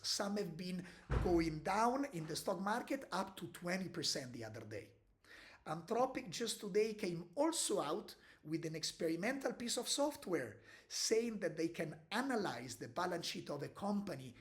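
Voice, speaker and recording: Man talking markets. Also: high voice. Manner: conversational, explains with rhetorical tags. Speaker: podcast co-host. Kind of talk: casual market commentary.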